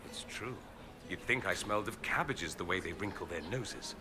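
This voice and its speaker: Witty, theatrical young nobleman mage, lively swooping voice. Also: low voice, normal speed. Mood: dryly amused. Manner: theatrical, teasing, arch and ironic. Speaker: companion character in a fantasy role-playing game. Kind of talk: video game character dialogue. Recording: music under the voice.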